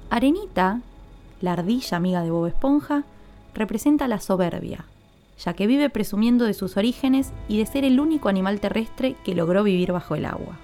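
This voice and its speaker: Woman, mellow relaxed tone, medium pitch, scripted explanatory podcast narration. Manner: explanatory, addresses listeners directly. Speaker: podcast host.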